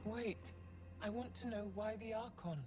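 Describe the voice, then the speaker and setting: A woman's voice: medium pitch, unhurried, over music, lightly acted. An actress playing a proud, embittered alien woman freed from captivity in a video game, grave and intense. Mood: defiant. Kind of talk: video game cutscene acting.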